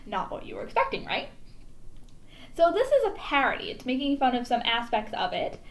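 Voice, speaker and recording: Female storytime presenter: notably high register, animated melodic range, casual explanatory asides between read-aloud passages. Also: brisk.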